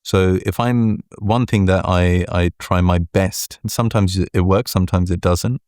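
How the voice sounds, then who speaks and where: Deep-voiced man, slow measured answers. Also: steady tone, darkish tone, no echo, studio quality. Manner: measured, deliberate, frequent "right?" and "look". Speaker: venture capital partner, guest. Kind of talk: reflective interview answers.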